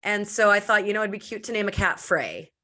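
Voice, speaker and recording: A woman from Canada, a podcast guest, talking in a loud, deep voice with lots of filler "likes" and quick restarts. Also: expressive. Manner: loud and deep voice by her own account. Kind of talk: casual interview chat.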